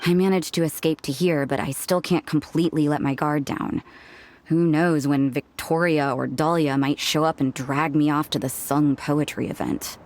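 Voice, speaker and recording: A woman's voice: deep voice, fairly even intonation, normal speed, a little mellow. A woman voicing an aloof, laid-back young woman in a video game, speaking in a languid, dry, matter-of-fact way. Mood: weary, grumbling. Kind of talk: video game character dialogue.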